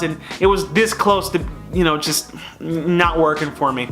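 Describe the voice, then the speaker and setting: A man's voice: fairly high pitch, sharp tone. A man hosting a casual, opinionated review, talking straight to viewers in a conversational, emphatic way. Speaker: film reviewer and host.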